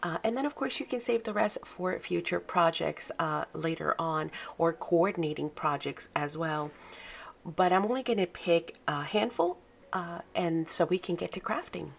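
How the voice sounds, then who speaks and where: Low gravelly woman crafting. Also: moderate pace. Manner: chatty, friendly step-by-step instruction with fillers. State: morning froggy voice. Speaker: craft video host. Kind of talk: casual craft tutorial. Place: home craft desk, early morning.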